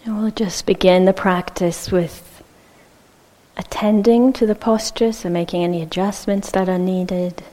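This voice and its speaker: A woman teaching meditation, giving gentle, measured instructions with long pauses between short phrases. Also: mid-range voice, steady tone.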